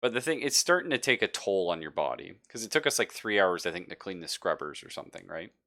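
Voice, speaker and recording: A man's voice: low voice, lively intonation, quick pace, gravelly, pushed and bright. A man running a tabletop roleplaying game as game master, narrating casually. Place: live online game session.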